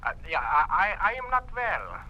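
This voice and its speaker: An actor in a 1950s radio drama playing a nervous, evasive academic, stammering and strained over a telephone line. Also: male voice, high voice, huge pitch swings, normal speed. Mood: frightened, evasive. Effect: telephone filter. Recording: thin telephone sound, old radio recording.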